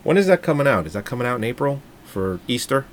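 A man's voice: mid-range voice, big pitch swings, fast, a little hiss or hum. A man co-hosting a casual movie podcast, joking crudely and laughing often as he chats about films. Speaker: podcast co-host.

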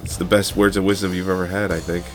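Low-voiced man co-hosting a podcast. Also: low-quality recording. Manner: profane, informal. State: under the weather, tired. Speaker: podcast co-host. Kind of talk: casual podcast chat.

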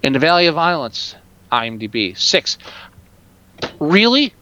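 A man's voice: fairly high pitch, sing-song, unhurried, bright, forceful tone, highs cut off, a little hiss or hum. A man co-hosting a podcast, ranting in exasperated, heavily profane and opinionated casual talk. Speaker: podcast co-host. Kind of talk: casual, opinionated film chat.

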